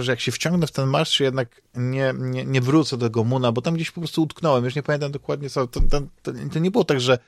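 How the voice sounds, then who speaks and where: A man's voice: mid-range voice, no echo. A Polish man speaks in a mid-range voice, recorded close and dry. He chats like a podcast host, loosely and hesitantly. He circles back over his words as he looks for the right phrase. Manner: hesitant, rambling, repeats words while searching for phrasing. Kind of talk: casual chat about games and comics.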